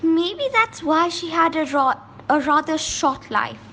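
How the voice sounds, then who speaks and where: Woman playing a high-voiced, gracious gossipy countess. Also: lively intonation, a little slow, fairly bright tone, a little hiss or hum, full character voice. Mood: wistful, amused. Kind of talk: amateur radio play acting.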